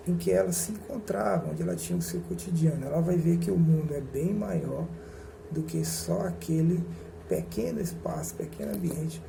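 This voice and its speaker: A man giving a recorded history lecture, speaking slowly and deliberately with pauses and self-corrections. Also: fairly high pitch, gravelly, dark tone. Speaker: history teacher. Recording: noisy recording with ambient hum.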